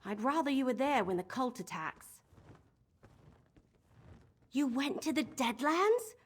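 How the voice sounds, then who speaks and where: High, lively young woman adventurer. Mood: incredulous, exasperated. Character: earnest, excitable young female adventurer. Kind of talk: video game voice acting.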